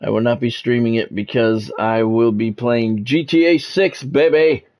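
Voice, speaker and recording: A man's voice: mid-range voice, fairly even intonation, a little slow, a little mellow. A man streaming a sports video game, mixing casual chat to viewers with play-by-play commentary and repeating himself as he goes.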